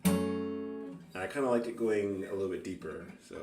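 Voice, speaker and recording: A man chatting casually and unscripted as an amateur guitarist, halting and self-critical as he thinks aloud. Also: low-pitched. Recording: roomy, distant mic, loud guitar. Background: acoustic guitar playing.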